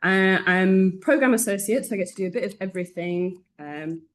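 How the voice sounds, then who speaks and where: A woman chats as a guest in an informal interview. She has a mid-pitched voice and an easygoing way of talking about her work. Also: a little fast. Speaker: arts programme associate, interview guest.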